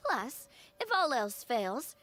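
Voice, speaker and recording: A woman voicing an energetic, naive young girl adventurer in a video game, bubbly and impulsive. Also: fairly high pitch, slow, a little hiss or hum, heavily acted. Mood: playful, eager. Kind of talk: animated video game acting.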